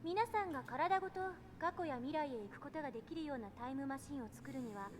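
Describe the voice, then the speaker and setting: A woman's voice: sits high, animated, very fast. A woman voice-acting a self-assured eighteen-year-old genius girl in a Japanese visual novel, lecturing in a composed, explanatory way over faint noise. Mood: composed, confident.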